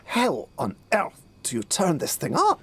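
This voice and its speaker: A man's voice: high voice, huge pitch swings, unhurried, breathy edge, heavily acted. A man playing a pompous, bewildered middle-aged police detective inspector who is hopeless with technology, in a theatrical comic audio drama style. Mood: stubborn, bemused.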